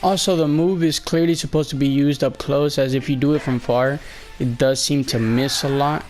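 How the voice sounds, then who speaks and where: A man's voice: mid-range voice, steady tone, moderate pace, darkish tone, soft background music. A young man with a slightly sick, fading voice, casually talking over gameplay, rambling and hesitant with many fillers. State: slightly sick, losing his voice. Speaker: gameplay commentator. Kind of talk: casual game walkthrough voice-over.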